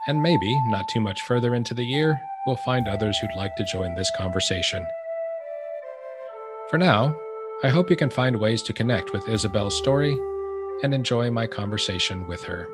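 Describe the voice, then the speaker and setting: A man hosting a faith podcast, reading a measured, earnest intro and then chatting with a guest with some hesitant fillers. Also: on the low side, lively intonation. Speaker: podcast host. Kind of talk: scripted intro then interview. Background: music.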